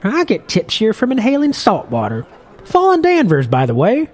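A man's voice: high voice, huge pitch swings, moderate pace, darkish tone, voice pushed up, exaggerated voice. A man voicing a short, jolly old fishing boat captain who talks in a chatty, boisterous, lilting way.

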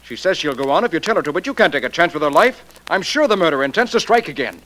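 An overwrought fiancé in a vintage radio mystery. His speech races along with big swings in pitch, urgent and pleading. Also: big pitch swings, racing, pushed and bright, low-quality recording. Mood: alarmed, pleading. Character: agitated, pleading fiancé of a threatened actress. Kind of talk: old-time radio drama acting.

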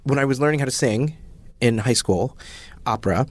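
A man as a podcast guest, telling a story in an animated, self-mocking way. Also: medium pitch, normal speed, no room sound, soft background music. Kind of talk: casual storytelling.

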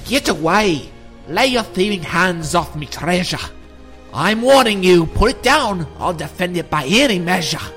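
A man's voice: high voice, wildly swooping pitch, takes it slowly, fairly bright tone, faint music behind, voice pushed up, exaggerated voice. A man voicing a grouchy male leprechaun who guards his gold, scolding and threatening with a sing-song, riddling manner.

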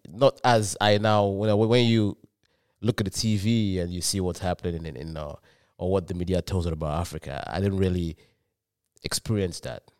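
A young man from Nigeria, a student guest on a podcast, answering questions in a halting way and often repeating his words. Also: sits low, fairly even intonation, darkish tone, clear, resonant tone, dry recording, studio quality. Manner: halting, repeats words while searching for them. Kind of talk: casual interview answers.

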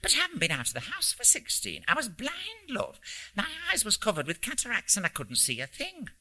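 A man's voice: extremely high pitch, huge pitch swings, fairly quick, sharp tone, pitched above the performer's own voice, exaggerated voice. A man voicing a little old English lady from the North Country, chatty and cheerful, as he reads a story aloud. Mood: cheerfully delighted. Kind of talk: acted dialogue in a story read aloud.

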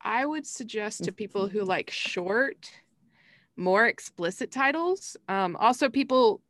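A woman's voice: medium pitch, lively intonation, measured pace, a bit bright, dry recording, clean studio sound. A woman on a podcast panel, giving her opinions in casual discussion.

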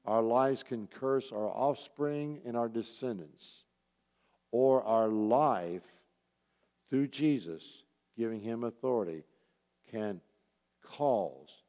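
A man's voice: mid-range voice, dark tone. A man preaching to a church group as its pastor, earnest and urging, repeating key phrases and posing questions. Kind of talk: sermon, exhortation with rhetorical questions. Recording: roomy hall with long reverb.

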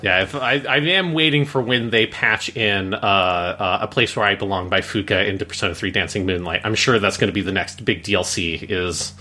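A man with a lowish voice and a bright, punchy edge. He talks casually as a podcast co-host, looping through his thoughts in a rambling, informal way. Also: brisk, few pauses. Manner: rambling, informal, frequent use of "like".

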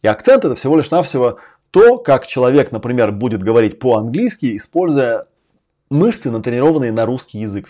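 Fast-talking man with a low, mellow voice. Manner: emphatic, categorical lecturing with frequent swearing. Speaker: host and language-learning coach. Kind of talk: casual live-stream monologue.

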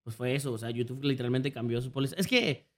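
A man's voice: medium pitch, quick pace. A man with a Mexican accent telling stories in a casual podcast chat, slangy and full of fillers. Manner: relaxed slangy storytelling, many fillers. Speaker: guest content creator.

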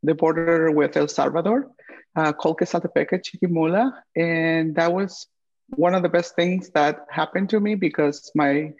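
Gravelly woman, lo-fi sound. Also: low voice, a little mellow. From Guatemala. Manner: unhurried, many uh fillers and pauses. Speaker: guest, chef and entrepreneur. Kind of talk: interview answers. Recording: dull lo-fi audio.

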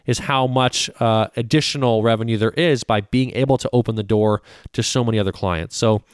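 A man's voice: medium pitch, steady tone, brisk, smooth, dry recording, clean studio sound. Canadian-born man hosting a podcast. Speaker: podcast host. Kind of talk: hosting a professional podcast interview.

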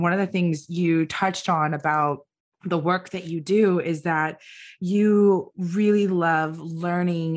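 Lower-pitched woman hosting a conversational interview. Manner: affirming, reflects back what was said. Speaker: interview host.

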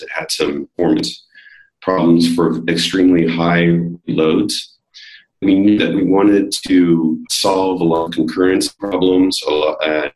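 A man with a slightly hoarse voice, a guest on a podcast, explaining technical work at an easy pace with many fillers. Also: sits low, a little mellow, roomy sound, low-quality recording. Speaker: guest, developer advocate. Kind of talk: casual technical interview.

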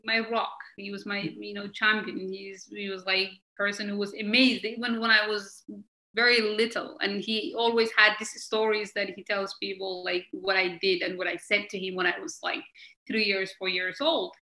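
Emphatic woman in an echoey room. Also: medium pitch. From Somalia. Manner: emphatic and animated, halting with many fillers and laughs. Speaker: guest, member of parliament. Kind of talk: conversational interview answers.

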